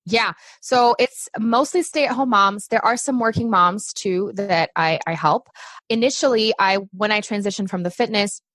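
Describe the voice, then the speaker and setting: A woman's voice: mid-range voice, normal speed, fairly bright tone, no echo. Chatty woman from Brazil, dry close sound. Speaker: podcast guest, success coach for moms. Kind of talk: casual interview chat.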